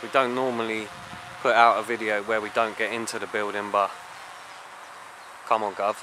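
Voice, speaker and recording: A young British man vlogging as he explores abandoned grounds outdoors, excitable and slangy, often hushed, recorded on a handheld camera. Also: on the low side, moderate pace. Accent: British English. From England. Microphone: handheld action camera. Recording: noisy outdoor camera audio.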